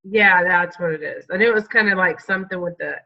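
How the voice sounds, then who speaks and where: A woman's voice: on the low side, fairly quick, lo-fi sound, slight background noise. A woman with a stuffed-up cold, chatting casually and rambling as a panel member. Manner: rambling, informal. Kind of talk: casual chat.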